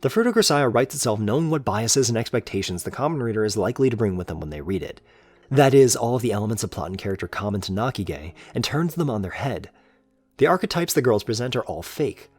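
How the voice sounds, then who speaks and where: A man's voice: medium pitch, big pitch swings, quick pace, breathy edge. A man reading scripted, analytical video essay narration in an earnest, emphatic and articulate way.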